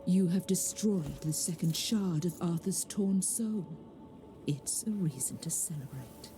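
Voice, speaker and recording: A woman playing an ancient, imperious sorceress goddess in a fantasy game, speaking in a theatrical, commanding way with a breathy, whispery edge. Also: on the low side, background noise, close to an everyday voice. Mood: sly, commanding. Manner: commanding, haughty, theatrical, deliberate. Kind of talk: dramatic fantasy game voice acting. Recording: dramatic music behind the voice. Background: music.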